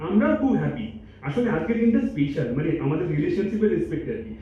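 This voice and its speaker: A man playing an eager, self-satisfied young man in an audio drama, chatting briskly with some English words mixed in. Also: sits high, animated. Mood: polite, pleased. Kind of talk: Bengali stage audio drama. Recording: muffled, echoey hall from far off, noisy.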